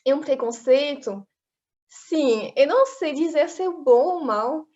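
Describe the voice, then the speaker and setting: French woman guest, native French speaker, slow and halting, high voice, muffled lo-fi sound. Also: lively intonation, darkish tone. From France. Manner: halting, hesitant, giggly. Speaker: interview guest. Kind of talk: casual interview chat. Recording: dull lo-fi audio.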